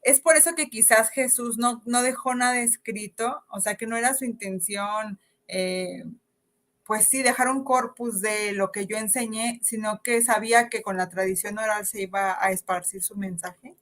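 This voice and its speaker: Woman host asking her guest stuff, bit high voice, lots of ums. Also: steady tone, normal speed, a bit bright. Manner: hesitant, many fillers. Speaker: interviewer, host. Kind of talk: interview questions.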